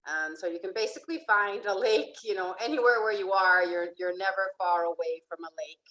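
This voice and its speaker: A woman life coach from Finland giving a casual slideshow presentation, hesitant with many fillers and restarts, often trailing off softly. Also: mid-range voice, brisk, poor audio. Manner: trails off softly at phrase ends.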